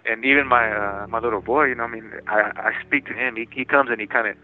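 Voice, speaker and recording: Man on a tinny phone line, halting. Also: mid-range voice, fairly even intonation. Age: 40s. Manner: halting, frequent restarts and repeated words. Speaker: interviewee in prison. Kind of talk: casual phone interview.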